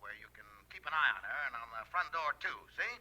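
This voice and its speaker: An actor playing a tough, sly gangster in 1940s radio drama, giving clipped, slangy orders over a telephone. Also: male voice, mid-range voice, expressive, fast, pushed and bright. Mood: conspiratorial. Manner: clipped, slangy, punctuated with "see?".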